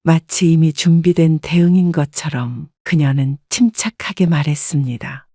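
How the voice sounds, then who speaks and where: Woman, story narrator, measured, subdued, scripted first-person reading, dry close mic. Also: sits low, warm tone, no echo.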